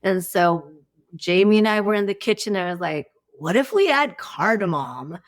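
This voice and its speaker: A middle-aged woman chatting casually as a podcast guest, expressive and informal in the way she talks. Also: mid-range voice, normal speed. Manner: expressive, informal, peppers talk with "like" and "you know". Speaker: guest, farmer and former academic. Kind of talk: casual, conversational podcast chat.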